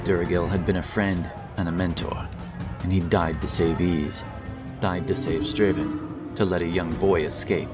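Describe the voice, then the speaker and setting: A man's voice: low-pitched, steady tone, moderate pace, distant mic. A man giving a dramatic scripted narration of a fantasy story. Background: loud epic background music.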